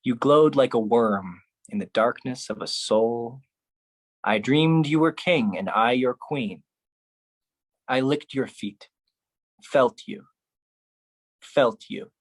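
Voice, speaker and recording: Slow, deliberate theatrical solo performer. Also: medium pitch. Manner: dramatic, deliberate phrasing with pauses and repetition. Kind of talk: theatrical poetic monologue, spoken-word solo performance.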